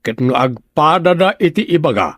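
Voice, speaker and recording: Audio drama: gossiping village man played by an actor, higher-pitched, very lively, insistent, northern Philippine accent. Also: normal speed, dry recording, studio quality.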